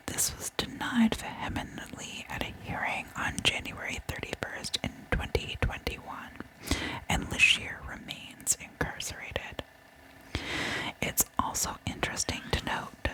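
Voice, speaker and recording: A woman whispering true crime ASMR as a host, breathy and gentle, speaking informally to her listeners. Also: low-quality recording.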